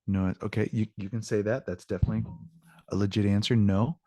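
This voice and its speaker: A man's voice: fairly low pitch, fairly even intonation, fast, dark tone, no echo. A man teaching an online language lesson, informal and encouraging as he explains examples and switches between two languages. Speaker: Spanish teacher. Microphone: video call.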